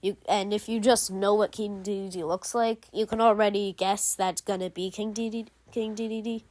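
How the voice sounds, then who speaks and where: A woman's voice: medium pitch, fairly even intonation, moderate pace, darkish tone, no echo. A young woman hosting a gaming channel, giving casual, rambling game commentary full of fillers and restarts.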